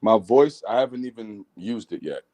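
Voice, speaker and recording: Deep baritone man, guest rapper on a casual talk show, low pitch, relaxed and reflective. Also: normal speed. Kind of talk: casual interview chat.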